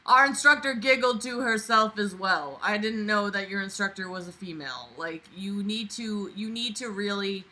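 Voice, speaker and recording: Bright, sharp, sarcastic woman reading aloud. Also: medium pitch, moderate pace, hardly any pauses, rough recording. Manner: sarcastic, exasperated, foul-mouthed. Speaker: online book reader and commentator.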